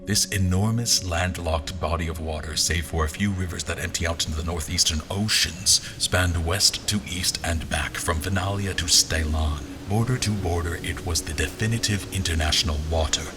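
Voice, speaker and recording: A man playing a grave, reflective general who narrates an audio drama in measured, literary phrases. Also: low voice, lightly acted. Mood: brooding. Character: grave, reflective male army general recounting his journey. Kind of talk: scripted first-person dramatic narration. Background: music.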